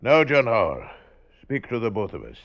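An actor playing a wary, mercenary hired killer in a radio drama, speaking in a halting, probing, drawn-out way. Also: male voice, on the low side, huge pitch swings, creaky, exaggerated voice. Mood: rousing, commanding. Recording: noisy, dull lo-fi radio recording.

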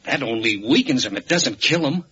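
An actor playing a slight, nervous secretary to a crook in a 1940s radio serial. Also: male voice, medium pitch, expressive, fairly quick, bright, forceful tone, husky, heavily acted. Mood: questioning. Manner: nervous, fretful. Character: slight, bald male secretary to a crook, anxious. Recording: dull old radio recording.

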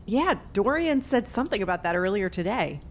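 A woman's voice: medium pitch, big pitch swings, brisk, a little hiss or hum, close to an everyday voice. A woman playing a skeptical, sarcastic hometown returnee in an audio drama. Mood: curious. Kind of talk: naturalistic audio drama acting.

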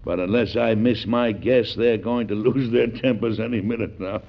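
An actor playing a principled, resolute American president in an old-time radio history drama, speaking formally and emphatically with an American accent. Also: male voice, on the low side, steady tone, normal speed, vocal fry. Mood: wry. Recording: dull, lo-fi classic radio recording.

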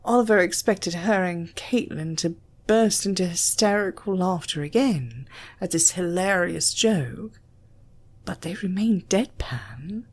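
A woman's voice: on the low side, animated, measured pace, husky. A woman with a British accent, reading a scripted story expressively as an audiobook narrator.

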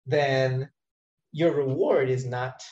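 A man's voice: mid-range voice, slow pace, darkish tone. A man in casual conversation, thinking aloud in a rambling way with many fillers and restarts. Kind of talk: casual discussion of language teaching. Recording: roomy, dull-sounding audio.

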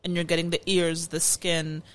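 A woman's voice: on the low side, little pitch movement, moderate pace, noisy background. Chatty woman explaining, full of fillers. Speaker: podcast co-host. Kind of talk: casual food-review chat.

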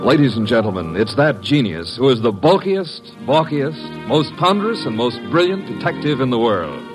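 A man's voice: mid-range voice, big pitch swings, normal speed. A man announcing in grand, emphatic, scripted mid-century radio style on an old broadcast recording. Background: music.

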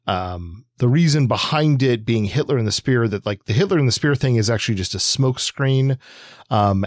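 A man's voice: medium pitch, no room sound, studio quality. A man co-hosting a podcast, thinking aloud with many ums and restarts. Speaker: podcast co-host. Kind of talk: casual comics discussion.

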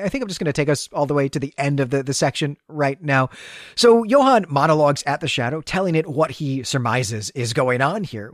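A man's voice: medium pitch, no room sound, clean studio sound. A man hosting a podcast, talking through a story recap in a conversational, analytical and explanatory way. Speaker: podcast host.

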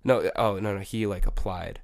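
A man's voice: deep voice, normal speed, vocal fry, no echo, studio quality. A young man, a music producer, chatting casually as an interview guest with a laid-back manner and many fillers. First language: Spanish.

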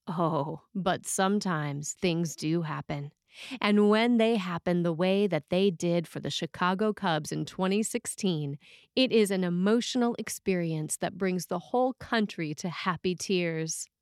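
A woman reading a scripted sermon as a preacher, telling stories in measured, deliberate phrases with dramatic pauses. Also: on the low side, no echo, studio quality.

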